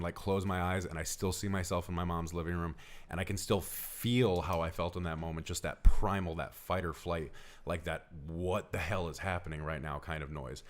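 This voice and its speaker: Earnest low-voiced man telling a story. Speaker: podcast co-host. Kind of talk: casual first-person storytelling.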